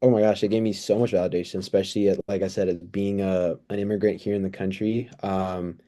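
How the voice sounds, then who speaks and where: A man in his twenties giving earnest, thoughtful, somewhat halting answers in a casual interview. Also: low-pitched, flat delivery, mellow. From United States, born in El Salvador. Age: 20s. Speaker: interview guest, pre-physical therapy student.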